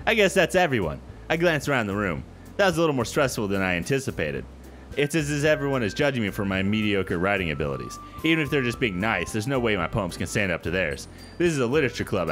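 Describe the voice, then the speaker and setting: A man hosting a gaming video, reading a visual novel aloud with casual, expressive commentary. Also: on the low side, brisk. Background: soft background music.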